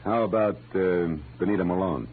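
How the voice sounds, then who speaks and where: An American actor playing a wry, wisecracking private detective in a 1940s radio drama, with dry delivery. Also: male voice, sits low, normal speed, slight background noise, natural voice. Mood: probing. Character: wry hard-boiled male private detective, cool and wisecracking. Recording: muffled old radio recording with music.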